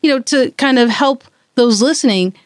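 A woman's voice: sits high, moderate pace, dry recording, clean studio sound. Attentive woman hosting an interview. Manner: attentive, steady affirming murmurs while listening. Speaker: interview host. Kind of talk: interview conversation.

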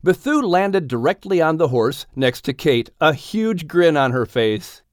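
A man reading a children's audiobook story as a scripted, expressive narrator. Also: fairly high pitch, a little slow, dry recording, studio quality.

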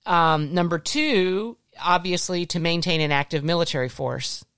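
American podcast host, slightly gravelly but clear, chatty, walks thru points, close dry sound. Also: medium pitch, moderate pace, a bit bright, no room sound. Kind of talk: casual discussion from notes.

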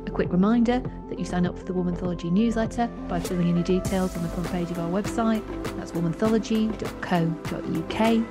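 A woman's voice: lowish voice, fast talker, few pauses, music in the background. A woman hosting a podcast, reading a scripted introduction and putting questions to a guest. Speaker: podcast host.

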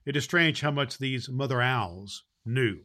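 Man, storybook host, expressive reading for children, mid-pitched, bright and forceful. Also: a little slow. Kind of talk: storybook read aloud with informal host talk.

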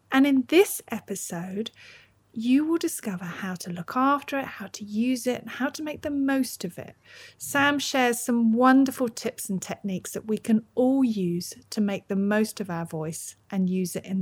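Woman hosting a podcast, measured delivery. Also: on the high side. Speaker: podcast host. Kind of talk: podcast introduction and friendly interview.

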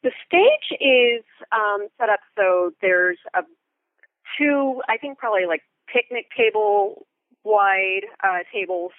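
An American woman being interviewed as a Foley artist, explaining things thoughtfully and in detail, with halting pauses. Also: mid-range voice, expressive, dry recording, phone-quality sound. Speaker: guest, theatre Foley artist. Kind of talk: interview conversation.

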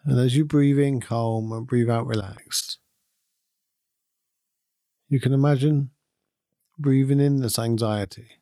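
A man guides a meditation with a mellow, relaxed voice at a medium pitch. He speaks calmly and soothingly, giving gentle instructions without hurry and leaving long pauses. The sound is dry and close, with no room around it. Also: dry recording. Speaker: meditation guide and podcast host.

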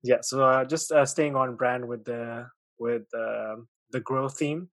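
Podcast guest, man, late 20s, grew up in Pakistan; friendly, halting, dry sound. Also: mid-range voice, steady tone, fairly bright tone, no echo. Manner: friendly, hesitant, many fillers and restarts. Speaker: guest, author and podcast host. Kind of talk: casual interview chat.

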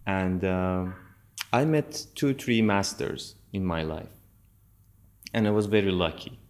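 A man from Iran whose first language is Persian, talking in a halting way and often searching for his words as a podcast guest. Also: low-pitched, expressive, a little mellow. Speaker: yoga teacher, podcast guest. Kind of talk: casual conversation.

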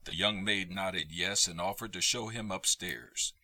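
A man narrating a radio play as a scripted story, with measured storytelling delivery. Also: deep voice, pushed and bright.

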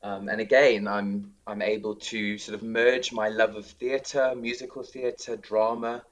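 Chatty South African man, low voice. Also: level tone, measured pace. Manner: warm, chatty, many ums and restarts. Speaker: director of drama, guest. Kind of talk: casual interview.

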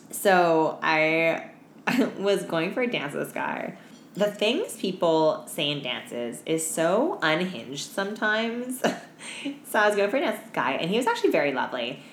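A young woman with a low voice co-hosts a casual podcast, tired from no sleep. She is blunt and slangy, leaning on "like" and "um" as she tells work stories. The room is echoey with audible background noise. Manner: slangy, blunt, heavy use of "like" and "um". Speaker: podcast co-host. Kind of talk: casual chat, telling work anecdotes.

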